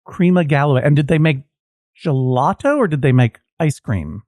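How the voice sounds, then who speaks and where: A man's voice: a little high, lively intonation, moderate pace, a little mellow, dry recording. Male podcast host, wry and jokey, asks meandering questions, dry studio sound. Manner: wry, joking, rambling asides. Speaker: podcast host acting as judge. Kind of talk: playful comedic questioning.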